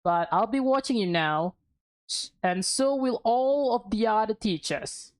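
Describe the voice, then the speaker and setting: A woman's voice: on the high side, lively intonation, measured pace. A woman voicing a stern, scolding mother who teaches at a school, firm and reproachful, in a visual novel. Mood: angry, stern.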